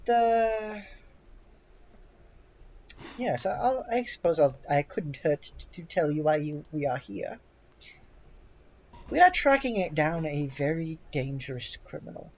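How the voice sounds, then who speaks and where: Woman playing a slow, deliberate military commandant. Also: low voice, darkish tone, heavily acted. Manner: formal, halting, deliberate. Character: genial, authoritative military commandant with zealous patriotism. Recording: dull lo-fi voice recording.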